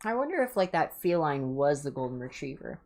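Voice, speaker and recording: A woman's voice: deep voice, big pitch swings, brisk, a little mellow. A woman hosting a livestream, reading clinical horror reports aloud with informal asides and the odd stumble over hard words. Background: music.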